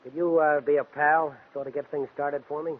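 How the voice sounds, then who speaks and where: Actor as a smooth scheming man, old tinny radio. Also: a little high, flat delivery, fairly quick, close to an everyday voice. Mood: casually persuasive. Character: scheming actor turned murderer, smooth, coldly controlled man. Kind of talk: 1940s radio drama acting. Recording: muffled, tinny vintage 1940s radio recording.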